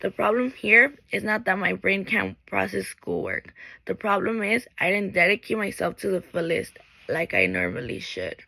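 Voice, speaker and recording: Creaky bright young woman. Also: mid-range voice, expressive, normal speed, no room sound, poor audio. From Mexico. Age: late teens. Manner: earnest, reads from a script, with small restarts. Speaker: graduating high school student. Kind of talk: reading a prepared graduation speech.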